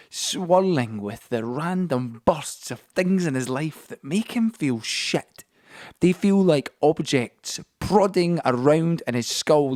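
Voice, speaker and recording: An actor playing a troubled, lonely young man, narrating an audio play in deliberate, halting phrases. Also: mid-range voice, darkish tone, no echo, clean studio sound. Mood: brooding. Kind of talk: dramatic audio play monologue.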